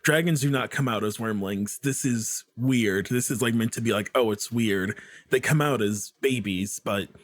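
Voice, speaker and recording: Animated, laughing guest on a podcast. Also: male voice, medium pitch, normal speed, a little hiss or hum. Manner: animated, laughing, self-interrupting. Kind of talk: casual chat.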